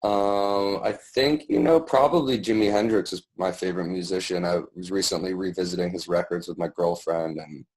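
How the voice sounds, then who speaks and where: A man's voice: sits low, moderate pace, hardly any pauses, darkish tone, rough recording. A man in his thirties, a music producer and DJ, giving thoughtful, hesitant interview answers full of fillers and restarts. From born in London, raised in Paris and New York. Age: 30s.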